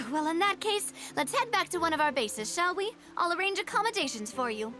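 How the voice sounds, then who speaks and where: An actress voicing a brisk, self-assured young woman leader in a game, speaking in a teasing, offhand way. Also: very high-pitched, sing-song, sharp tone, full character voice. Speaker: game character. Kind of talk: game voice acting. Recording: game audio with faint music.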